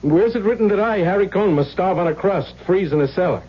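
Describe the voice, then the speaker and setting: A man's voice: sits high, expressive, a little fast. An actor playing a troubled, self-doubting husband in an old-time radio drama, plaintive and given to repeating his words. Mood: resigned. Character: troubled, self-doubting husband who turns bitter and defiant. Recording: muffled, noisy old radio recording.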